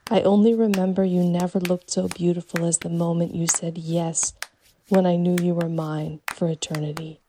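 A woman's voice: lowish voice, little pitch movement, moderate pace, noisy background, natural voice. A woman voicing a devoted, romantic circus wolf boy in a short story, speaking in florid, effusive phrases. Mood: adoring. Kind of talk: audiobook character dialogue.

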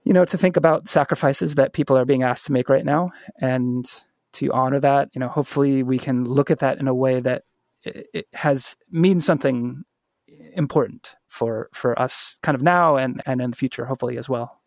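A man's voice: medium pitch, moderate pace, darkish tone, dry recording, clean studio sound. A man hosting a podcast in a reflective, casual conversational style. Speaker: podcast host.

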